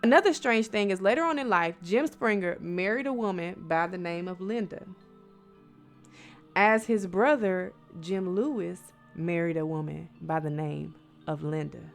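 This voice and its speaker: Gravelly-voiced American woman telling spooky bedtime stories. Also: on the low side, normal speed. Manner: conversational, addresses listeners as friends, rhetorical questions, dramatic emphasis. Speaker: storyteller, host of a bedtime story show. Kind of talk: spooky bedtime storytelling with casual asides. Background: music.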